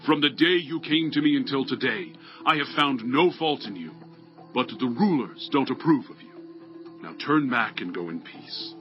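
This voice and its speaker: A man's voice: mid-range voice, lively intonation, normal speed, natural voice. An actor playing an earnest, diplomatic ancient ruler speaking up for his servant in a scripture drama. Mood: regretful, firm. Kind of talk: dramatized scripture audio acting. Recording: dull lo-fi audio, faint music.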